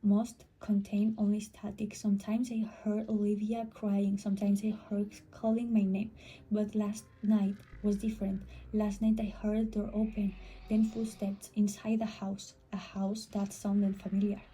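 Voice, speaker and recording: A woman's voice: mid-range voice, level tone, unhurried, mellow, a little hiss or hum, rough recording. A woman reading a scripted first-person horror story as a storyteller.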